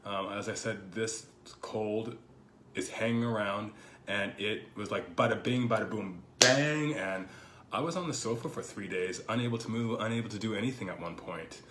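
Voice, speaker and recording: A man hosting his own podcast, talking casually and reflectively with lots of fillers, his voice a little hoarse from a lingering cold. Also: on the low side, normal speed. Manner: thoughtful, rambling, full of "you know" fillers. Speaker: podcast host. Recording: echoey room with faint background noise.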